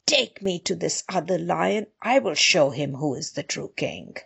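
Woman as imperious storybook lion, hot-tempered, greedy, demanding, husky, gravelly. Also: on the low side, animated, unhurried, fairly bright tone, full character voice. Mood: angry.

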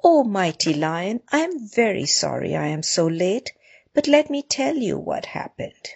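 Woman doing a tiny storybook rabbit, raised pitch, meek, polite, pleading, lively swoops. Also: measured pace, gravelly, husky, highs cut off, full character voice. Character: small, meek but clever little rabbit.

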